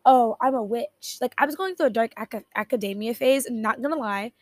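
A young woman hosting a solo podcast, her voice raspy from just waking up, rambling and cutting herself off with little laughs. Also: a little high, fairly quick, dry recording. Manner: rambling, self-interrupting, giggly. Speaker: podcast host. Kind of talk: casual solo podcast chat.

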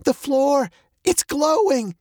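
A man's voice: very high voice, animated, slow, darkish tone, no room sound, studio quality, pitched above the performer's own voice, exaggerated voice. A man voicing a frightened young girl in peril in an adventure storybook. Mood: frantic, alarmed.

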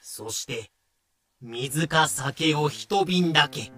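A man's voice: on the high side, extremely slow, bright, forceful tone. A man delivering scripted dramatic narration as the storyteller.

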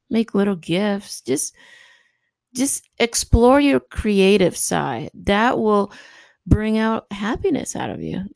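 A woman's voice: medium pitch, darkish tone, smooth, dry recording, studio quality. A woman who speaks Spanish as her first language, hosting a casual, encouraging podcast monologue full of fillers and little laughs. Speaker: podcast host.

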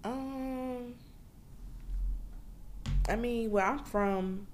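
A woman's voice: fairly high pitch, drawn-out words, a little mellow, slight background noise. A woman from Memphis hosts a casual book review. She talks to her listeners in a chatty, slangy way. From Memphis, Tennessee.

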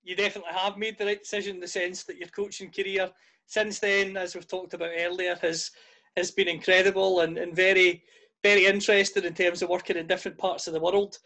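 A male host runs a friendly sports interview and keeps the tone upbeat. His voice is pitched notably high for a man. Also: level tone, brisk, bright, forceful tone. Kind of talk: conversational interview questions.

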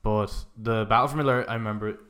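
Lowish-voiced man in casual chat. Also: steady tone, fast talker, fairly bright tone. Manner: informal, often saying "like". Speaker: podcast co-host. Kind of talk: casual chat about video games.

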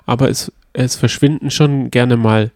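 A man co-hosting a casual podcast chat, calmly retelling a detective story and teasing about spoilers. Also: mid-range voice, unhurried. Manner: explains calmly and at length, playful about spoilers. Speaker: podcast co-host. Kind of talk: casual chat about a radio play series.

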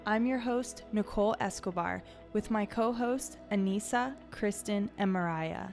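A woman opens her podcast in an upbeat, casual voice and introduces her co-hosts. Her pitch sits in the middle range. Faint music plays underneath. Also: unhurried, a little mellow. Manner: upbeat, friendly welcoming. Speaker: podcast host.

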